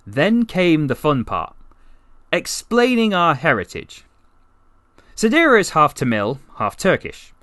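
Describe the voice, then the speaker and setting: A man's voice: a little high, wildly swooping pitch, a little slow, sharp tone, no room sound, exaggerated voice. A man voicing a chatty, wry, besotted half-alien narrator who tells his comic story conversationally, with rambling asides. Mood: gushing, confiding. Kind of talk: first-person comic story narration, voice acting practice.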